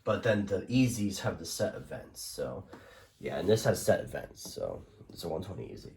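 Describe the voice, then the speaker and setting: Low-voiced man, rambling casual showcase. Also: big pitch swings, moderate pace, creaky, a little mellow, a little hiss or hum. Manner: rambling, hesitant, informal. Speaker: collector presenting a radio collection. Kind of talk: casual product showcase narration.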